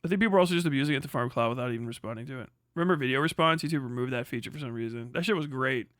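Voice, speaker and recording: A man's voice: medium pitch, racing, fairly bright tone, dry recording, studio quality. A young man hosting a livestream, reading chat aloud and rambling in casual, profane commentary with frequent restarts. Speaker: livestream host.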